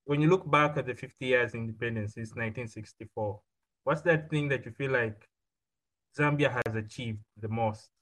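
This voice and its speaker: A man's voice: medium pitch, moderate pace, a bit bright, rough recording. Upbeat man hosting a podcast. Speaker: podcast host.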